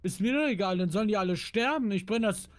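A man's voice: high voice, fast. A young man chatting casually while gaming, with a blunt, mischievous manner. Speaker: let's player, co-player.

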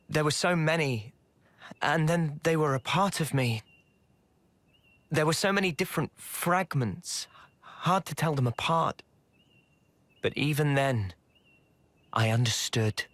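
A calm, slow-spoken young soldier. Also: male voice, on the high side, no room sound. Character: calm, thoughtful, empathetic young male soldier. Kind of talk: video game voice acting.

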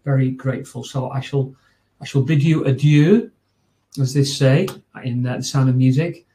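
Northern English male, 40s, webinar host, warm low-key timbre, casual rambling delivery over a home webcam. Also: normal speed. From north of England. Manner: chatty and informal, with many fillers and restarts. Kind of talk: closing remarks of a training webinar. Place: small room at home. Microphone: video call webcam.

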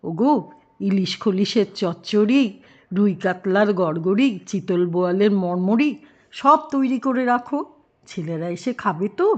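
A woman's voice: medium pitch, expressive, a little slow, dull audio. A woman voicing a simple, proud father crocodile in a children's story. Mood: proud, eager. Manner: eager, calling out, simple-minded.

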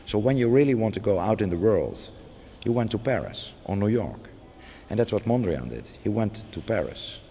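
Deep-voiced man in an echoing gallery. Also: animated, normal speed, hardly any pauses, warm tone. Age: middle-aged. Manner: hesitant, many restarts and fillers. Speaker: museum curator. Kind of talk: unscripted gallery interview. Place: large museum gallery indoors. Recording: long gallery reverb, faint background noise.

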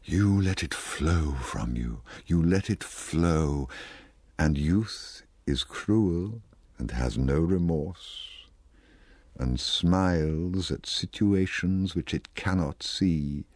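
A man voicing a wistful, insistent ageing society lady from a poem, halting and yearning. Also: low voice, a little mellow, no echo, full character voice. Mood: wistfully reproachful.

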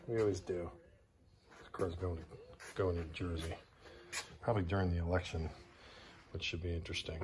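A middle-aged man talks into a phone held at arm's length outdoors, his voice fairly low. He chats casually and pokes fun at himself, often trailing off with ums while he searches for a word. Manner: chatty, self-deprecating, fumbling for words. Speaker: vlogger fixing his classic car. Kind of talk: casual road-trip vlog. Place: outdoors in a sunny desert yard. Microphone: handheld phone camera at arm's length.

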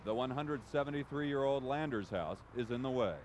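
A man's voice: medium pitch, a little fast, darkish tone, a little hiss or hum. A man narrating a scripted television news feature as a reporter.

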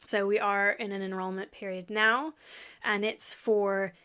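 A woman's voice: mid-range voice, level tone, unhurried, pushed and bright, clean tone. A woman in her thirties with an American accent, a guest herbalist chatting casually on a video call from a home office, laughing often as she explains. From lives in Yorkshire, UK. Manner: chatty, laughs often, gestures while talking. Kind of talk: casual interview chat. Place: home office with framed flower print.

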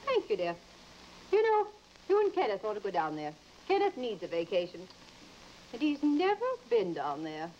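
A woman's voice: medium pitch, huge pitch swings, moderate pace, warm tone. A woman playing an outspoken, disapproving older mother-in-law in an old radio drama. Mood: genial, brisk. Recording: muffled, hissy vintage recording.

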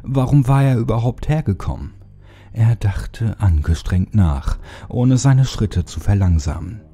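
Slow, dark, gravelly male horror narrator. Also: fairly low pitch, lively intonation. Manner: deliberate, brooding dramatic delivery with pauses. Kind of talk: dramatic scripted horror story narration.

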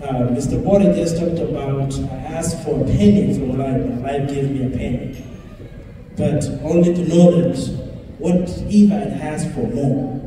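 A man's voice: a little high, measured pace. A middle-aged man giving a motivational speech to a gathering over a PA microphone. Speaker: community speaker and realtor. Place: large hotel banquet hall, indoors. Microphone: handheld mic over PA, recorded from the audience. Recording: echoey hall from far off, faint noise.

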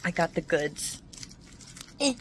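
A woman putting on a lowered, teasing voice as a mischievous riddle-keeper. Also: sing-song, slow, a little hiss or hum.

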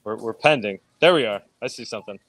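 A man's voice: fairly low pitch, fast talker, no echo. A middle-aged man filming himself in a grocery store, chatting eagerly to viewers and interviewing the owner through an earphone mic. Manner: excited, laughing, talking to camera. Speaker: show correspondent. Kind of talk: casual vlog interview. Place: grocery store checkout, indoors. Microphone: wired earphone mic.

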